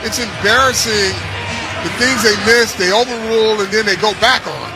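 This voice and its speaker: A man's voice: high voice, moderate pace, over music. A man giving live football analysis on the radio as a color commentator. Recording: very noisy live stadium broadcast. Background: loud stadium ambience.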